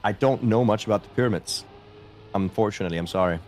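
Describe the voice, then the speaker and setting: A man from Denmark chats casually with his live stream viewers, answering their questions aloud as they come in. His voice sits on the low side, and he readily pokes fun at himself. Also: fast talker, a little hiss or hum. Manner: chatty, answers chat questions aloud, self-deprecating.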